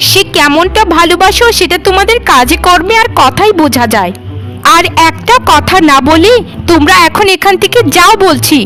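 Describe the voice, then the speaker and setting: High-pitched bossy young sister-in-law, played by a woman. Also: expressive, moderate pace, pushed and bright, exaggerated voice, low-quality recording. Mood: angry threatening. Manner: demanding, scolding, accusatory. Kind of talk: village moral-story cartoon acting. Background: background music.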